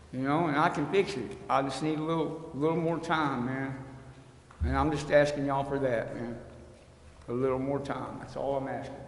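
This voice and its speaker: Guy pleading his case off the cuff at a council meeting, really echoey, bit of noise behind him. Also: fairly high pitch. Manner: plain-spoken, pleading. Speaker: resident appealing at a city council hearing. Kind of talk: unscripted public plea. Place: council chamber. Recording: echoey hall, long reverb, faint noise.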